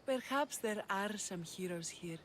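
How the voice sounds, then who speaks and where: A woman's voice: medium pitch, expressive, measured pace, slight background noise, natural voice. An actress playing a guarded Greek woman hardened by danger in a video game, solemn and intense. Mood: grave, grateful. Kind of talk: video game cutscene acting.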